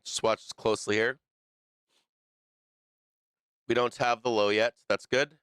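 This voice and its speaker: Bright-voiced man calling market charts. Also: medium pitch, little pitch movement, a little slow, clear, resonant tone, dry recording. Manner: loose, thinking aloud, frequent restarts and fillers. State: losing his voice. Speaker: stock market stream host. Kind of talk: live trading commentary over charts.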